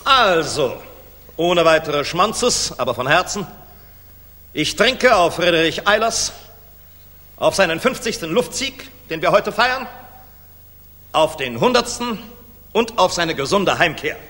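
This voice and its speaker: Sardonic military commander, high-ish animated voice, wisecracking, reverberant hissy old radio play. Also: male voice, slow, pauses often, exaggerated voice. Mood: commanding, convivial. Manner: ironic, wisecracking, barking orders. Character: dashing, sardonic Luftwaffe general, irreverent and commanding. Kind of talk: 1960s German radio drama acting.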